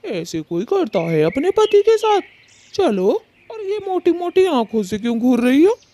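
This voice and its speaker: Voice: sits high, big pitch swings, measured pace, dark tone. A performer voicing a male cartoon bird with a theatrical, complaining and pleading way of talking. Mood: wounded, pleading. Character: dramatic male cartoon bird, husband or neighbour, plaintive. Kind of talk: Hindi children's cartoon story dialogue.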